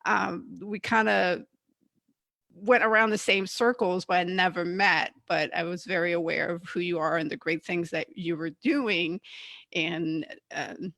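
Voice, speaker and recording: A woman's voice: lowish voice, fairly even intonation, unhurried, gravelly, a bit bright, no room sound. A woman hosting a podcast interview in a casual, chatty style. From Canada. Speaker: podcast host.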